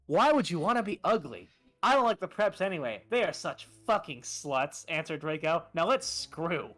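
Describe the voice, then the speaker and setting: Bright, animated, theatrical fan fiction reader. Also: medium pitch, moderate pace, soft background music. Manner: theatrical, amused, often breaking into laughter. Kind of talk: comedic reading aloud of fan fiction with asides.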